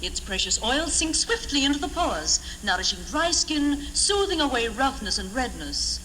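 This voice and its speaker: A woman's voice: sits high, very animated, moderate pace, pushed and bright. A woman reading an old-time scripted radio commercial in careful, soothing sales patter. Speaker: radio advert reader. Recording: hissy old radio recording, hall reverb.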